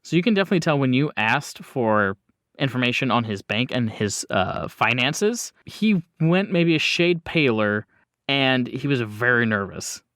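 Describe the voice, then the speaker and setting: A man narrates an improvised horror scene as game master. His medium-pitched voice is conversational, moving in pauses and bursts, with a very dry sound. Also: expressive, a bit bright, no echo.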